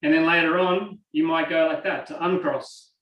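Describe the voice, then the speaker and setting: Australian male trainer, chatty, slightly high, echoey and off-mic. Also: moderate pace, distant mic, muffled recording. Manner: lively, conversational, acts out examples. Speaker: NLP trainer. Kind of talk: teaching a live online class. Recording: roomy and from off the mic.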